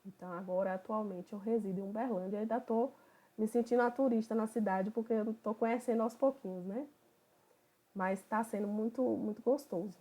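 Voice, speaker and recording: A woman from Bahia, a psychoanalyst who opens an online study group in a relaxed, conversational way. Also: mid-range voice, a little fast. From Bahia, Brazil. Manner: relaxed, conversational, thinking aloud.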